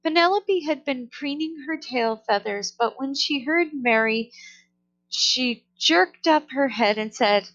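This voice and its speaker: A woman reading a children's story aloud in a careful, deliberate way, on a somewhat dull recording. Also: high-pitched, animated, fairly bright tone. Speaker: storybook reader. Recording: dull, lo-fi sound.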